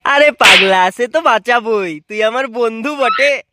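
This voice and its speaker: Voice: medium pitch, unhurried, heavy background noise, close to an everyday voice. A performer voicing a brash, boastful village club strongman in a comic cartoon, cocky and crude-mouthed.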